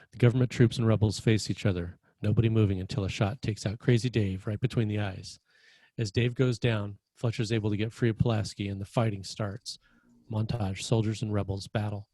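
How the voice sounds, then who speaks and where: A man's voice: on the low side, fairly even intonation, fairly quick, mellow, no room sound, poor audio. A man reading scripted stage directions as the narrator of a screenplay table read.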